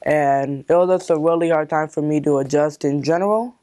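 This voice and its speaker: A young adult with a medium-pitched voice talks casually about personal experience. The recording is dry, with little room sound. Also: brisk, dark tone, clear, resonant tone, no room sound. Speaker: interviewee.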